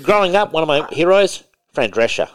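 A man's voice: a little high, very animated, a little fast, clear, resonant tone. A man co-hosting a podcast in casual chat, with a nasal voice and a rambling way of talking that goes on and on. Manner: rambling, talks on and on. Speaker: podcast co-host.

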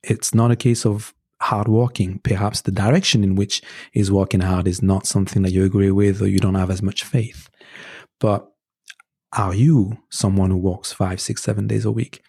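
A man with a French accent, whose first language is French, earnestly giving relationship advice as a guest in a conversational interview. He hesitates often, restarting phrases and filling pauses with "um" as he works through his points. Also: on the low side, flat delivery, vocal fry, a little mellow, husky. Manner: earnest and advising, with frequent fillers and restarts. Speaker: podcast guest.

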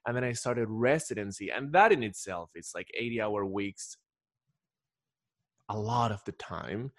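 A man's voice: lowish voice, a little slow, no echo, studio quality. A man from Ecuador talking casually as a podcast guest, telling stories in a rambling way with repeated restarts. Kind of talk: casual conversational storytelling.

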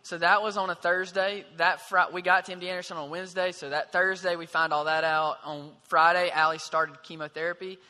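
Bright high-voiced young man, hall echo. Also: fairly even intonation. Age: 20s. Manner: measured, pauses with fillers. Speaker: husband sharing testimony. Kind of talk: earnest storytelling.

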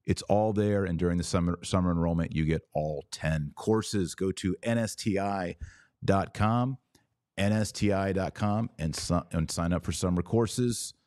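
A man with a very low, mellow voice speaks as a podcast host giving religious commentary. He is didactic and emphatic, setting out his phrases deliberately. The sound is dry and close, with little room to it. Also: dry recording.

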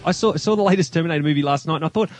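A man's voice: on the high side, rapid-fire, no room sound, faint music behind. Man chatting casually, close dry sound. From Australia. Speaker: podcast guest reviewer. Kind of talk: casual chat.

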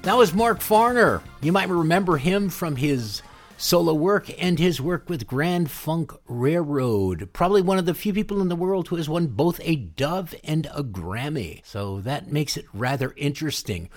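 A man's voice: on the high side, lively intonation, normal speed. A man hosting a music show, presenting in a casual, conversational style. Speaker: radio show host.

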